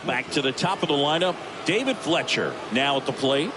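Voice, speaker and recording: A man's voice: a little high, lively intonation, normal speed, noisy background, close to an everyday voice. A man playing a baseball play-by-play announcer, calling the action in a video game's sports commentary. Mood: steady, attentive.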